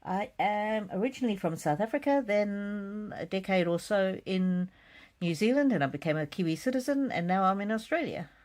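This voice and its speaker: A woman hosting a live psychic card reading, chatting casually and reading out comments in a gentle, musing, hesitant way with soft repetitions. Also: mid-range voice, expressive, normal speed.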